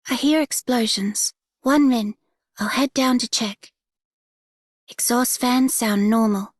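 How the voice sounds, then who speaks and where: A woman voicing a calm young game character in a put-on Australian accent that slips toward Canadian. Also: on the high side, slow pace, dry recording, clean studio sound. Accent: put-on Australian with Canadian traces. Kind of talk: game voice acting.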